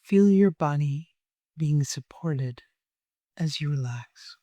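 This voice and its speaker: A person leading a guided meditation in a gentle, soothing way, with long unhurried pauses. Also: mid-range voice, no echo.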